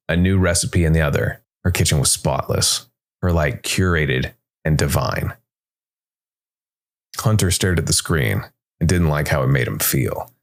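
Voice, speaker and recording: A man reads scripted dark fantasy narration in a measured way, with dramatic pauses between short phrases. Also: deep voice, gravelly. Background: background music.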